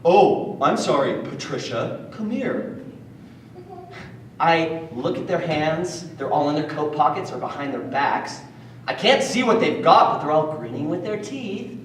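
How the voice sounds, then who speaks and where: A man giving an animated solo reading of his play as a child narrator. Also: a little high, moderate pace, a little hiss or hum. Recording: distant echoey room.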